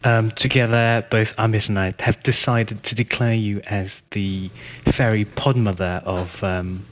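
A man's voice: on the low side, level tone, unhurried, narrow, tinny audio. A man from the United Kingdom, a guest podcaster, chatting casually with lots of ums and teasing chuckles. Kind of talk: casual chat on a conference call.

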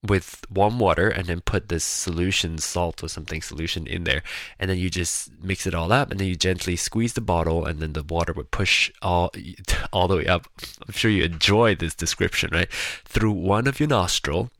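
A man chatting casually in a rambling personal monologue, sounding nasal and congested, with a slightly raspy, gravelly voice. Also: deep voice, normal speed, a bit bright, no echo. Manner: rambling, chatty, with frequent restarts. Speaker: vlogger.

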